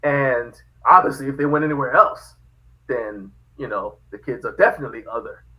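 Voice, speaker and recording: A man from Liberia, a podcast guest telling his family history in a lively, casual way, often laughing. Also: mid-range voice, normal speed, rough recording. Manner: animated, laughs often, quotes dialogue. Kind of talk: casual storytelling.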